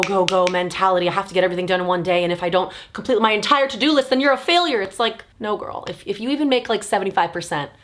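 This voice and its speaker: A woman's voice: mid-range voice, a bit bright. An American woman aged thirty chatting casually and confidingly to her viewers as a vlog host, with self-deprecating asides. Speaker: video channel host. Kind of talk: casual personal vlog chat to camera. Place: bedroom at home, sitting on the floor.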